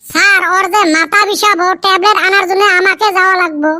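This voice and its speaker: A woman's voice: extremely high pitch, steady tone, fast, bright, forceful tone, dry recording, clean studio sound. A woman voicing cheeky, scheming village schoolboys in a comedy cartoon, arguing and wheedling with mock innocence in a Rajbongshi accent. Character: cheeky, scheming village schoolboy pupils, high-pitched and childlike. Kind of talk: Bengali comedy cartoon dubbing.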